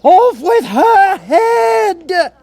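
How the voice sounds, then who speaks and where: A man voicing a savage, bossy storybook Queen who shouts orders in a drawn-out, imperious way. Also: very high voice, big pitch swings, creaky, no echo, voice pushed up, full character voice. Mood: furious shouting. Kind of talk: storybook character voice.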